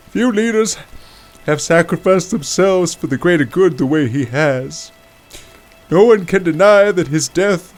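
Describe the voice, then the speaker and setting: A man playing a stately senator, giving a solemn, grandiose memorial speech with deliberate emphasis. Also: on the high side. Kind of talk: formal political oratory. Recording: long hall reverb, faint music.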